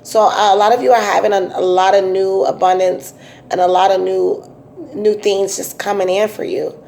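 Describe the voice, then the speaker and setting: A woman with a raspy voice giving a casual, chatty tarot reading, full of fillers and restarts. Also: mid-range voice, flat delivery, moderate pace, a little mellow, slight background noise. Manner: chatty, repetitive, many um fillers. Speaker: tarot reader.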